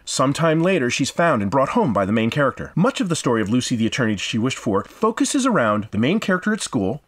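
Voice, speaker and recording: A man narrating a scripted game review as host, in a dramatic, emphatic, florid manner. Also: mid-range voice.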